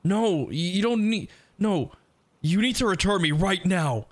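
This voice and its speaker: Man acting desperate lovesick yandere, halting and pleading. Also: high-pitched, lively intonation, no room sound, pitched above the performer's own voice. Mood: panicked, pleading. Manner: halting, stammering, pleading, breathy sighs. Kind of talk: intimate ASMR roleplay.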